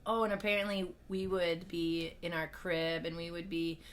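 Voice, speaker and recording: A young woman with a hoarse voice, a podcast guest chatting casually at home, laughing often and trailing off mid-sentence. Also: on the low side, little pitch movement, normal speed, a bit bright, slight background noise. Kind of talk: casual interview chat. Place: family home.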